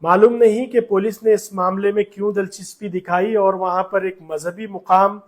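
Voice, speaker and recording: A man's voice: high-pitched, steady tone, moderate pace. A man with a Hyderabadi Urdu accent narrating a news report with commentary.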